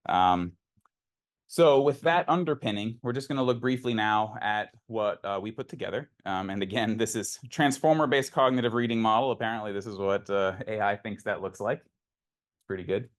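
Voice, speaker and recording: A man's voice: lowish voice, normal speed. A man who is a researcher giving an informal academic lecture, explaining things in a conversational way with rhetorical questions and asides.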